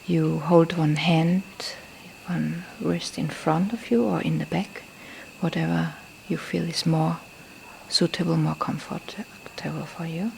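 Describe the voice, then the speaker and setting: A woman teaches meditation in non-native English, with a lowish voice that creaks at the edges. She speaks very slowly and gently, often softening almost to a breathy whisper. She leaves pauses between short phrases, over faint background noise. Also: steady tone, low-quality recording. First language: not a native English speaker. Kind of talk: guided meditation instruction. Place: temple in Thailand. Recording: faint background noise, live field recording.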